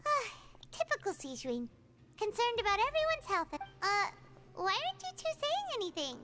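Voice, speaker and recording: A woman's voice: extremely high pitch, wildly swooping pitch, moderate pace, exaggerated voice. A woman voicing a tiny, chirpy floating fairy companion in a video game, bubbly and talkative. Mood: curious. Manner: bubbly, exclaiming. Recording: game audio with faint music.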